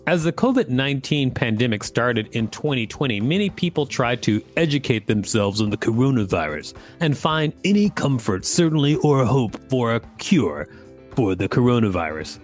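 Guy podcast host, normal pitch, reading out an article, jokey and casual, bit of guitar behind. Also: sing-song, normal speed. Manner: informal, joking, stumbles over words.

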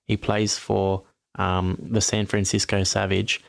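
A man's voice: lowish voice, flat delivery, a little slow, creaky, no room sound. A man talking sport on a podcast in a rambling, frank way, often restarting his sentences. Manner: rambling, self-correcting, frank opinions. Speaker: podcast host. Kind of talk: casual sports chat.

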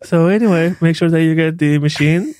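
Soft-spoken man podcast co-host. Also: on the high side, brisk, dry recording. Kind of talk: casual chat.

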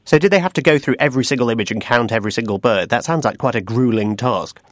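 A man's voice: medium pitch, fast talker, no echo. A man hosting a podcast, presenting scripted links and putting questions in a polished magazine-show style. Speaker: podcast host. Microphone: close studio microphone.

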